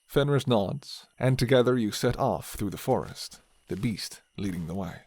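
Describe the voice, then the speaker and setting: A man narrating a scripted fantasy story in the second person, with measured, dramatic storytelling. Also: mid-range voice, expressive, darkish tone, dry recording.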